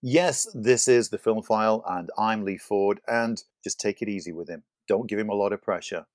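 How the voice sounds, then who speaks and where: A man's voice: on the low side, normal speed, darkish tone, faint music behind. A man hosting a film podcast, chatting casually with a playful, teasing manner. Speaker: podcast host.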